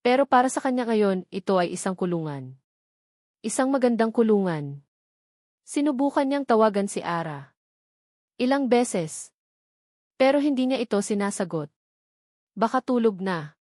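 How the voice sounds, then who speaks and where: A woman's voice: on the high side, lively intonation, normal speed, long pauses, a little mellow, dry recording, studio quality. A woman reads a scripted romance story as its narrator, in a dramatic storytelling style.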